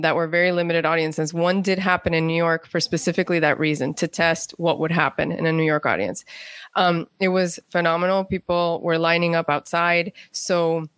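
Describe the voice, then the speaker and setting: A woman's voice: lowish voice, fairly bright tone, dry recording, studio quality. A woman speaking as an interview guest, telling stories conversationally and acting out what people said. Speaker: guest, playwright.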